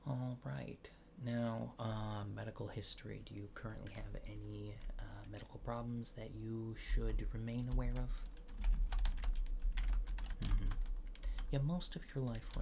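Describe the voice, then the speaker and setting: Male ASMR performer, gravelly soft voice near whisper, halting and politely reassuring as a mild intake official, with typing and clear background noise. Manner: halting, low-key, polite intake-interviewer delivery. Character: mild-mannered alien official disguised as human, awkwardly reassuring. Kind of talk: ASMR roleplay, soft improvised questioning.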